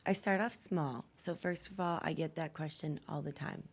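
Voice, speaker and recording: Low creaky-voiced lady coach chatting on a podcast, giving advice. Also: fairly even intonation, normal speed. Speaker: podcast guest, lifestyle coach.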